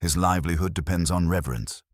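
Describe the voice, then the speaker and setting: A man's voice: low-pitched, fast, no room sound, clean studio sound. A man voicing an aloof, cerebral detective in a mystery audiobook, speaking in formal, pompous pronouncements. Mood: pondering, certain.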